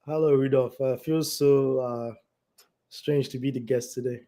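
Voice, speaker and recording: A man, a doctor, speaking as a guest on a talk show over a video call, his voice raspy and hoarse from flu, explaining things deliberately and correcting himself as he goes. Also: mid-range voice, steady tone, warm tone.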